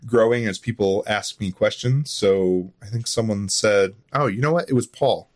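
A man with a fairly low voice talks as a guest on a casual interview show. He explains things informally, in a slightly halting, storytelling way. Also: a little mellow. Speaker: interview guest, audio drama writer.

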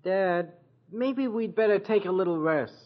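An actor playing an easygoing teenage son in a 1950s radio sitcom, with boyish slang and little taste for work. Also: male voice, sits high, unhurried, slight background noise. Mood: lazy, plaintive. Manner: boyish slangy drawl, eager to dodge work. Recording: dull old radio broadcast recording.

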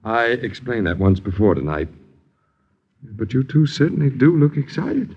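A man's voice: medium pitch, very animated, moderate pace, slightly rough, highs cut off. A sardonic American lodger in an old-time radio play. His delivery is florid and theatrical, swooping up and down as he needles his miserly landlords with mock grandeur. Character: sardonic, wordy male boarder mocking his miserly landlords. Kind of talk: 1950s American radio drama acting. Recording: 1950s radio broadcast recording.

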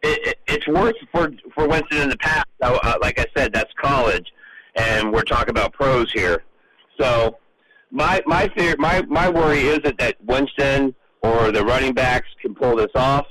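A man in a casual sports radio discussion, talking haltingly and often repeating words as he works toward his point. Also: mid-range voice, a crowd in the background, rough recording. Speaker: sports radio show panelist.

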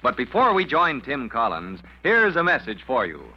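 A man's voice: medium pitch, huge pitch swings, moderate pace, very noisy. A man playing a dramatic, declamatory announcer for a 1940s radio adventure serial, on an old recording. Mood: rousing. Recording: dull 1940s radio recording, music behind.

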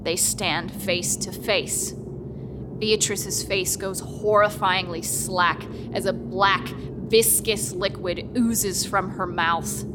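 A woman narrating a horror radio drama in a deliberate, ominous, dramatic storytelling manner. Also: mid-range voice, fairly even intonation, noisy background.

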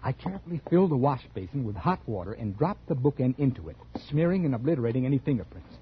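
Calculating murderer, dull vintage radio sound. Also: male voice, mid-range voice, sing-song, a little hiss or hum. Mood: coolly scheming. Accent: American. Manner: deliberate, confiding narration with studied nonchalance. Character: calculating male murderer, smooth and feigning casual ease. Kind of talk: 1940s radio acting, first-person suspense narration. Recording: dull 1940s radio recording with music.

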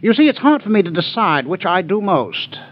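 An actor playing a cold, sly gang leader in a 1940s radio crime drama, speaking with smug menace. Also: male voice, high-pitched, sing-song, normal speed, dry recording. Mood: smug, taunting. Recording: muffled 1940s radio recording.

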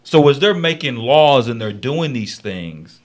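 A man's voice: mid-range voice, lively intonation, a little slow. A man on a talk radio panel speaking casually, rambling and repeating himself, often checking that listeners follow. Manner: rambling and repetitive, keeps asking "you see what I'm saying".

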